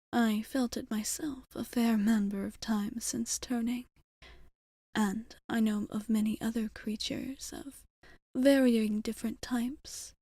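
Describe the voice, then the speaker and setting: A woman playing a tender, old-souled vampire bartender in a whispered ASMR roleplay, speaking softly, deliberately and a little formally, with affection. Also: a little high, no room sound, exaggerated voice. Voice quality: soft, breathy whisper.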